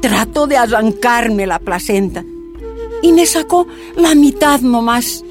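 Actress, first-person narration, slightly high, quiet. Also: female voice, slow, over music. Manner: quiet recounting. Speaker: narrator of her own story.

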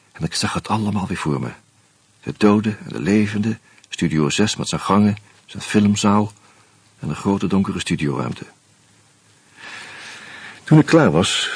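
A man narrating a radio drama in the first person, measured and reflective. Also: lowish voice, slightly rough, no room sound.

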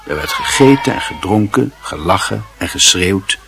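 A man's voice: fairly low pitch, moderate pace, creaky, very noisy. A man reading scripted literary narration as the narrator of a radio drama.

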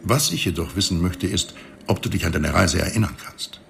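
An actor playing a calm, condescending space station reanimator in a radio play, measured and wryly superior. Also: male voice, low voice, creaky, a little hiss or hum, full character voice. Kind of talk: science fiction radio play dialogue.

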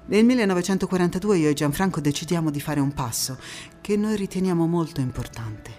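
A passionate young antifascist partisan woman, played by an actress, tells her life in a low-pitched voice. She speaks as in a stage monologue, with dramatic pauses and emphatic repetitions, over music. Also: lively intonation, dark tone, lightly acted. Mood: resolute. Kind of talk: theatrical stage monologue, first-person storytelling.

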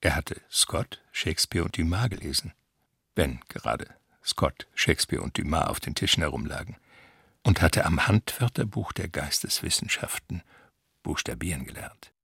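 Very deep, gravelly male narrator. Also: expressive, normal speed, a bit bright, no room sound, studio quality. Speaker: narrator of a literary radio play. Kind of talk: scripted literary narration.

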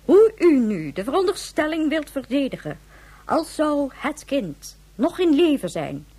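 A woman's voice: sits high, darkish tone. An actress playing a stern, sceptical female government leader in a Dutch radio drama, speaking formally and with authority.

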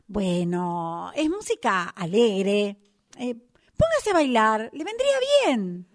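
A woman's voice: mid-range voice, big pitch swings, slow. A woman with an Argentine accent playing an oblivious, teasing upstairs neighbour in an amateur radio play, cutesy and needling. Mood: cheerfully teasing. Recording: amateur workshop recording with background noise.

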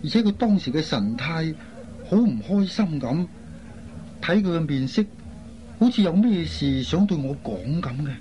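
A man's voice: on the high side, expressive, normal speed, warm tone, lightly acted. An actor playing an earnest, concerned airline crewman in a radio drama. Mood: serious recounting. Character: earnest, concerned man, a flight crew colleague. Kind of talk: Cantonese radio drama acting. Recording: dull lo-fi recording, faint noise.

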